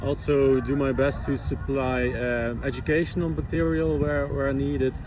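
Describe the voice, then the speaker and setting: A man's voice: mid-range voice, flat delivery, measured pace. A man from the Netherlands, a visiting physiotherapist, answering interview questions in a hesitant way with many fillers and restarts. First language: Dutch. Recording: outdoor traffic noise behind.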